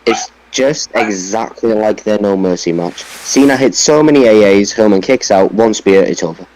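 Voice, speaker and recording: A man's voice: fairly low pitch, animated, normal speed, a little mellow, rough recording. A man on a podcast panel, giving blunt opinions in a casual debate about wrestling.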